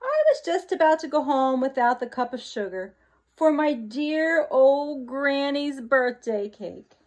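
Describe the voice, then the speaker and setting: A woman voicing a chatty, self-excusing male wolf from a children's picture book, with exaggerated, drawn-out emphasis. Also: sits high, expressive, voice pushed up. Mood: animated storytelling.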